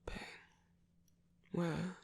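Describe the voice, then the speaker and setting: A performer voicing a commanding yet tender, possessive villain in an ASMR roleplay, speaking deliberately in a husky voice that often drops to a whisper. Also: a little mellow. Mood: concerned, chiding. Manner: slow, deliberate, coaxing, with long pauses. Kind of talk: ASMR roleplay, scripted intimate monologue.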